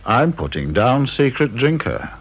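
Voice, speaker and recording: An actor playing a sardonic, talkative senior security interviewer, British, with a dry, teasing, mock-polite manner in radio drama style. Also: male voice, mid-range voice, big pitch swings, a little slow, husky, heavily acted. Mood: dryly teasing. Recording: dull, muffled old radio recording.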